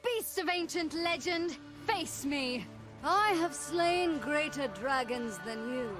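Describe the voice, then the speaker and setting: A woman's voice: sits high, animated, takes it slowly, fairly bright tone, soft background music, heavily acted. An actress voicing a joyous, boastful young woman warrior in a video game, grand and theatrical, proclaiming her fearlessness. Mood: exultant, bold. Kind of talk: video game champion voice acting.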